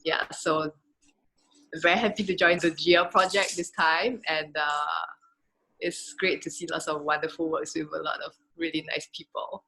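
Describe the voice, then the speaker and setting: A woman's voice: low-pitched, steady tone, moderate pace, creaky, pushed and bright, rough recording. A woman artist and cultural worker introducing herself and chatting casually about her work in an informal way. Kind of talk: casual introduction in an online conversation.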